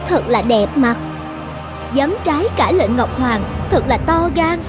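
Scolding cartoon fairy lady, scornful, high voice, loud music behind. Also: animated, unhurried. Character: scornful female celestial fairy. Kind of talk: animated fairy-tale dialogue.

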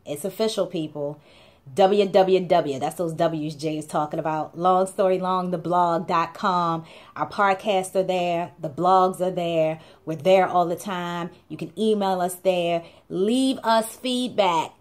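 A young woman talks straight into her camera from a small room. Her delivery is chatty and playful, with emphatic repeated phrases that drive each point home. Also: medium pitch. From Baltimore, USA. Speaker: blog co-host. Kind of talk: casual talking-to-camera vlog.

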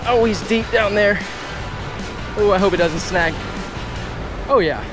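A young man talking to camera while fishing off a rock jetty in rough sea, hyped and exclamatory, recorded on a body-worn action camera. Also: high voice, measured pace, over music. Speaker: fishing vlogger. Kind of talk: excited outdoor fishing commentary.

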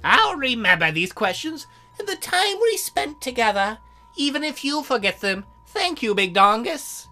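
Visual novel demon girl, played by a woman: high and bright, shy, lonely, earnest, stammering, music underneath. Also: sing-song, a little slow, full character voice. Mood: wistful. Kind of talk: visual novel character read aloud.

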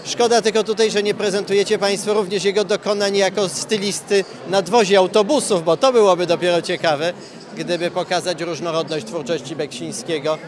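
A man giving interview remarks in measured, halting phrases. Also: sits high, steady tone. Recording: clear crowd chatter behind.

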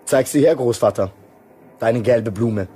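An actor playing a sceptical, impatient grandson in a radio drama, speaking in a dismissive, emphatic way. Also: male voice, medium pitch, measured pace, warm tone, husky. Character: sceptical, impatient grandson living in a dark freight wagon.

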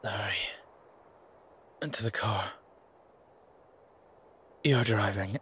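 A man playing an ancient, weakened vampire father in an audio roleplay, breathy and raspy, speaking in a halting, protective way. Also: fairly low pitch, fairly bright tone, slight background noise. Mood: exhausted, wry.

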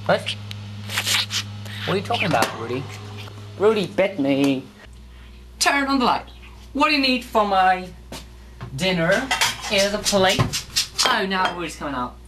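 A woman's voice: mid-range voice, big pitch swings, measured pace, long pauses. A young woman vlogging casually in her home kitchen, rambling and chatting to her pet bird as she makes lunch. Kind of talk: casual home cooking vlog. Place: small home kitchen, indoors. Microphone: handheld camera. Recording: noisy camera audio.

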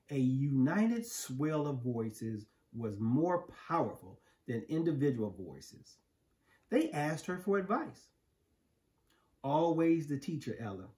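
A middle-aged American man reading a children's picture book aloud with measured, emphatic phrasing. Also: a little high, a little mellow. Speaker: storybook reader. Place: living room, indoors on a couch. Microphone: camera a few feet away.

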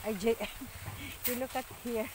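A woman's voice: on the high side, fairly even intonation, measured pace. A middle-aged woman with a Filipino accent, chatting casually in a farm vlog, often laughing and filling gaps with "um", recorded on a phone held at arm's length outdoors. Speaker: farm vlogger. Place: outdoors on a rural farm path. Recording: outdoor phone audio with rural noise.

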